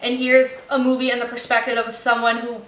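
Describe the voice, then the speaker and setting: A young American woman chatting casually to her channel, upbeat and quick to laugh. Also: fairly high pitch, completely flat delivery, a bit bright. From United States. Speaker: YouTube creator. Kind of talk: casual chatty video commentary. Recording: echoey room with faint background noise.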